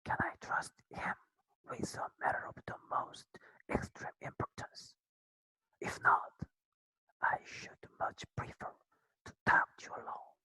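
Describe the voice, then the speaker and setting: A reader voicing a proud, stubborn masked nobleman, in a soft, slow whisper. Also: no room sound, poor audio. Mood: formal, secretive. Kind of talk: whispered ASMR storybook reading.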